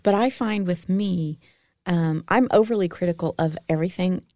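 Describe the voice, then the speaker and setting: A woman's voice: fairly low pitch, normal speed, mellow, no room sound. A woman co-hosting a casual podcast, laughing often and talking in a rambling, self-critical way into a studio microphone. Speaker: podcast co-host. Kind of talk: casual chat.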